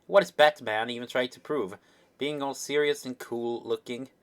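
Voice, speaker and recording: A man's voice: medium pitch, fairly even intonation, moderate pace, close to an everyday voice. A man voicing an opinionated young sidekick fan in a web comic, rambling through a mock-indignant rant with quaint slang. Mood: scornful.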